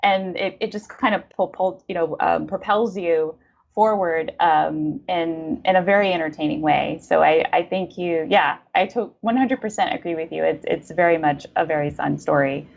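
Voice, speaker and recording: A woman's voice: on the low side, normal speed, vocal fry, dull audio. A woman interviewing in a casual literary conversation, speaking in an effusive, affirming way. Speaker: podcast interviewer.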